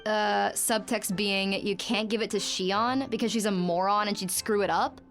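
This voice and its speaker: Anime game grump, played by a woman: lazy spirit lady, mid-pitched, sharp-tongued, dismissive, light music. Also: fairly even intonation, moderate pace, lightly acted. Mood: irritated. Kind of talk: anime-style video game acting. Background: background music.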